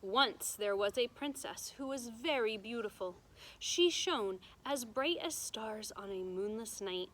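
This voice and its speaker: A woman with a bright, slightly high voice reads a storybook to children as their teacher. She pauses to explain things in simple words and checks that listeners follow. There is faint noise behind her. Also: expressive, poor audio. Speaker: teacher reading aloud to students.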